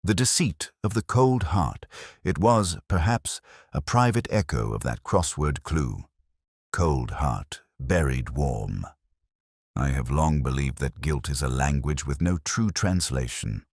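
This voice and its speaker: Really low male narrator, measured detective story reading, lively intonation, dry close sound. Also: husky, no echo. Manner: measured, literary, reflective delivery. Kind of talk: scripted first-person audio drama narration.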